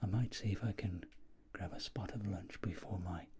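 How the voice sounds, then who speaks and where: A man performing an ASMR doctor roleplay in a soft, breathy whisper and low murmur, gently and politely. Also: steady tone, moderate pace.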